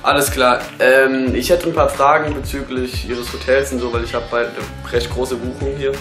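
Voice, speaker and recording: Man acting lowered-voice male hotel customer, hesitant and nosy, prank-call comedy, echoey. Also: flat delivery, normal speed, over music, lightly acted. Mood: awkward, probing.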